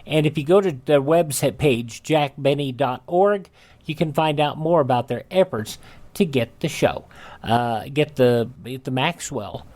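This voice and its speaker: A man hosting a radio program, talking casually and haltingly as he introduces old shows. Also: mid-range voice, a little mellow. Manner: halting, unscripted, pausing. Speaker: radio host.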